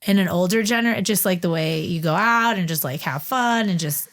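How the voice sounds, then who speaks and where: A woman's voice: lowish voice, moderate pace, a bit bright. A woman hosting a podcast, chatting with a guest in a bubbly, gushing way and asking eager questions. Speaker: podcast host. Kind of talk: casual interview chat.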